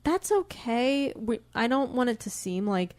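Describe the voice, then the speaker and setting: A woman's voice: a little high, very animated, unhurried, darkish tone. A woman co-hosting a podcast, bantering casually about books in a chatty, opinionated way. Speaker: podcast co-host. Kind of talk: casual book-discussion banter.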